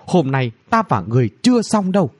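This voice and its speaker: A man's voice: a little high, sing-song, a little fast, husky. A man voicing a haughty, hot-tempered woman mage, scornful and threatening, in a fantasy audiobook. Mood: scornful anger. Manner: scornful, threatening, spell-shouting.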